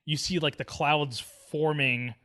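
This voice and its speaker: Guy on a film podcast, kinda high bright voice, slangy and jokey, just chatting. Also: steady tone, measured pace. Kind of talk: casual chat about films.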